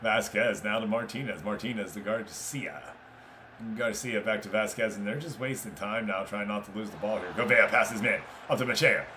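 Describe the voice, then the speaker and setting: A man's voice: lowish voice, moderate pace, pushed and bright, slight background noise. A man doing live, informal play-by-play of a football game, chatting casually with viewers between passes. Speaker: streamer commentating a football management game.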